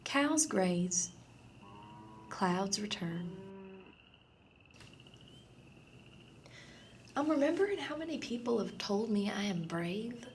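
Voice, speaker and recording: A woman acting a radio play monologue as a dreamy, unsettled woman obsessed, intimate and confessional, often slipping into breathy whispers. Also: medium pitch, big pitch swings, unhurried, a little hiss or hum. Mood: haunted, wistful. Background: music and sound design.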